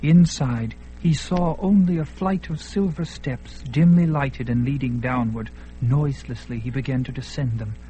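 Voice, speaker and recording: A man's voice: medium pitch, normal speed, few pauses, faint music behind, poor audio. A man narrating a fantasy radio drama with dramatic, swelling delivery. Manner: dramatic, swelling at peaks, hushed in quiet parts.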